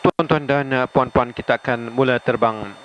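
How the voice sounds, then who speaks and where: A man with a Malaysian accent reading formal cabin crew announcements in a halting way. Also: medium pitch, steady tone, fairly bright tone. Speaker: airline cabin crew member. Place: aircraft cabin, over the PA. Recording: faintly noisy aircraft cabin announcement audio.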